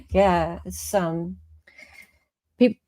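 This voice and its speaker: A woman's voice: mid-range voice, wildly swooping pitch, extremely slow, dark tone, no echo. An Australian woman hosting a live stream in casual, opinionated chat, often checking for agreement as she goes.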